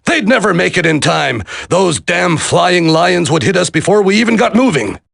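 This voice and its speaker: A man playing an irascible middle-aged government secretary in a video game, raspy and curt, barking orders. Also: high voice, big pitch swings, normal speed, fairly bright tone, no room sound, clean studio sound, heavily acted. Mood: angry, frustrated. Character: irascible senior government secretary, commanding and blustering.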